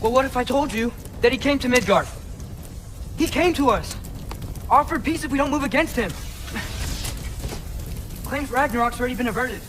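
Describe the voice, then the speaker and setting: A man's voice: very high-pitched, normal speed, long pauses, fairly bright tone, a little hiss or hum. A young man playing an earnest, defiant teenage boy in a video game cutscene, speaking urgently and persuasively. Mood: earnest, insistent.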